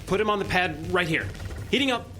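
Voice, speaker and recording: A man's voice: high voice, expressive, brisk, a little hiss or hum. A man acting a practical, terse young gadgeteer in an audio drama. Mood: hurried. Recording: echoey hall, loud music underneath.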